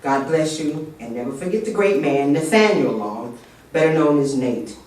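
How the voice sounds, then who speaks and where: A man delivers a formal, reverent memorial tribute in an earnest tone. His voice is somewhat high and sounds distant. A heavy echo fills the large room around him. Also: lively intonation, moderate pace, a little mellow. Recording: echoey hall from far off.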